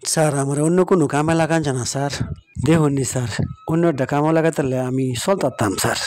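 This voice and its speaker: A man's voice: a little high, brisk, a little mellow, lightly acted. A man voicing a poor, humble, hardworking village husband in a cartoon moral story, with an earnest manner and rural Bangladeshi Bengali accent. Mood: humble, pleading. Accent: rural Bangladeshi regional Bengali.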